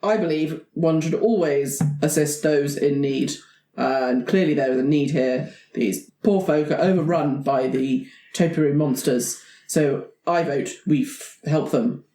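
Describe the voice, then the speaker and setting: A woman playing a tabletop game, improvising in a deliberate, measured way. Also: sits low, dark tone, roomy sound. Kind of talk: improvised in-character play and chat.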